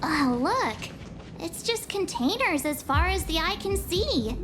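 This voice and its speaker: Teenage game heroine, high-pitched, swooping intonation, cheeky, outspoken, loud music behind. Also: female voice, unhurried, exaggerated voice. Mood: uneasy, grumbling. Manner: expressive, cheeky, chatty. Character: perky, outspoken teenage girl adventurer. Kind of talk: video game voice acting.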